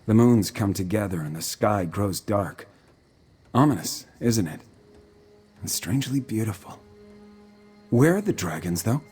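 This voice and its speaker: Resolute low-voiced fantasy commander. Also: male voice, lively intonation, measured pace, long pauses, darkish tone, breathy edge, soft background music, heavily acted. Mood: brooding, calm. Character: measured, resolute male military commander in fantasy war. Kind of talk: video game voice acting.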